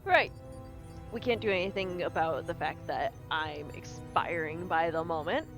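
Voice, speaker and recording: A woman's voice: on the low side, a little slow. A woman taking part in a role-playing game, mixing casual table talk with acting as her character. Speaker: tabletop role-play player. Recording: music playing behind the voice. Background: music.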